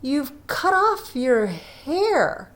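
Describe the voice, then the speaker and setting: A gentle young husband in a storybook, read by a woman. He speaks slowly and earnestly, with tender calm and an expressive rise and fall. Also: high-pitched, pitched above the performer's own voice, heavily acted. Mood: dazed. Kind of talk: storybook character reading.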